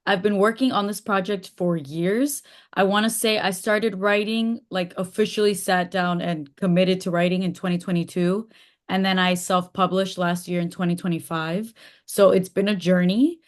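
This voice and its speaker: A woman's voice: fairly low pitch, moderate pace. A woman whose first language is Spanish, talking casually as an author guest over a video call, with frequent fillers. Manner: relaxed, chatty with frequent fillers. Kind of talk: casual interview talk.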